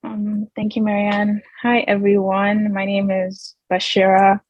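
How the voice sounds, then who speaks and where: A woman in her twenties, originally from Nigeria, introducing herself on a panel over a video call, speaking thoughtfully with pauses. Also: mid-range voice, monotone, a little mellow, no room sound, muffled recording.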